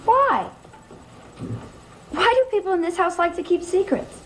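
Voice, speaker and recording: An actress playing a strange, earnest young woman with second sight, halting and cryptic, in a vintage gothic soap. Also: extremely high pitch, animated, a bit bright, a little hiss or hum, full character voice. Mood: uneasy, earnest. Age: young adult. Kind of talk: 1960s-70s TV soap acting. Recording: old TV soundtrack with music underneath.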